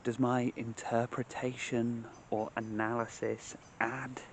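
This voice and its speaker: A man leading a guided meditation in measured, short phrases separated by long pauses, speaking outdoors. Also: mid-range voice, flat delivery, slight background noise. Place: outdoors among trees.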